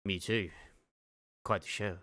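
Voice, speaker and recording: A man's voice: sits low, steady tone, takes it slowly, bright, forceful tone. A young man reading a game story aloud and chatting enthusiastically about it.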